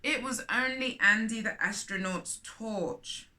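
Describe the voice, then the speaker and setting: A woman's voice: a little high, slow pace, pushed and bright. A woman hosting a children's storytime, reading a picture book aloud expressively with chatty asides.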